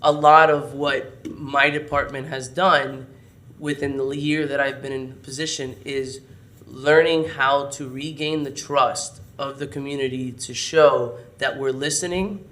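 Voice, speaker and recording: Hoarse man briefing a city meeting. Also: on the high side, measured pace. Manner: explanatory, steady briefing. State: hoarse, losing voice. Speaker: parks department director. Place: city council chamber.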